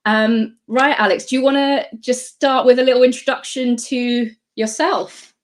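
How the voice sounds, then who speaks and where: A woman's voice: a little high, fairly even intonation, moderate pace. A woman hosting a casual livestream interview, welcoming viewers and playfully teasing her guest. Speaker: host.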